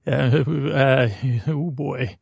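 Middle-aged man, American accent, heavy vocal fry, mumbled, halting, meandering podcast host, very dry close sound. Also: mid-range voice, steady tone, no room sound. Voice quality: gravelly, creaky. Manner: meandering, halting, trails off mid-thought. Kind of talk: rambling bedtime podcast monologue.

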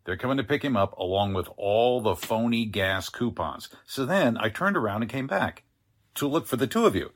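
A man acting a mild, well-meaning, easily flustered doctor in a 1940s-style radio drama, husky and hesitant. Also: medium pitch, animated, moderate pace, lightly acted. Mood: sober, explaining.

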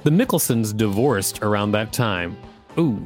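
A man's voice: fairly low pitch, lively intonation, measured pace, faint music behind. A man co-hosting a podcast, reading an article aloud and adding casual commentary. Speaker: podcast co-host.

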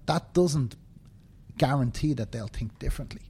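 A man's voice: mid-range voice, normal speed, gravelly, a little mellow, breathy edge. A man in his fifties with a Dublin Irish accent, speaking earnestly as an interview guest and often checking in with "right?". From Ireland. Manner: earnest, emphatic, tag questions like "right?". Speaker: interview guest, banking professional. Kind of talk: conversational interview. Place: indoors, seated conversation.

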